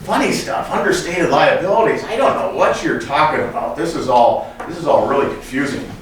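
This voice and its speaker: A man's voice: on the high side, very animated, brisk, pitched above the performer's own voice. A man playing a scheming corporate finance chief in a comedy skit, chummy and coy, hinting hesitantly and feigning innocence. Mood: feigned dismay. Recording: echoey room, distant mic, background noise.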